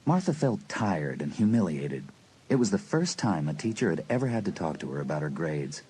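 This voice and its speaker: A man with a husky voice narrating a dramatised audiobook from a script. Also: low-pitched, big pitch swings, a little fast, darkish tone.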